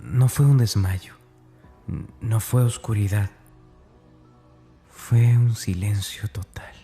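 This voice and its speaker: A man's voice: fairly low pitch, steady tone, slow, mellow, soft background music. An actor playing a tormented, fearful schoolboy, narrating his diary in a confiding, anguished, dramatic way. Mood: anguished, agitated.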